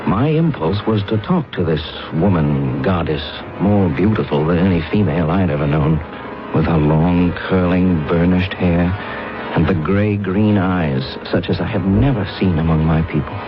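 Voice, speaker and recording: A man playing an earnest, disoriented explorer from another planet in a radio drama, speaking in a halting, solemn, formal way. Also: low voice, music in the background, natural voice. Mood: reflective. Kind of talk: radio drama acting with first-person narration. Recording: dull vintage radio broadcast recording.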